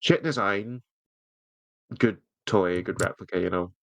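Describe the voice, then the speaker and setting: A man with a fairly low voice and a British accent chats casually as a podcast co-host. He rambles wryly and swears freely, and the sound is close and dry. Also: a little slow, creaky, no room sound. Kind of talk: casual banter.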